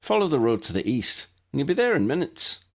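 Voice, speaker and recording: A friendly young Irish wizard as acted out by a male narrator chats in a lilting accent for a storybook. The voice is husky and swings up and down with great animation. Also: mid-range voice, sing-song, a little fast, voice pushed up. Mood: helpful.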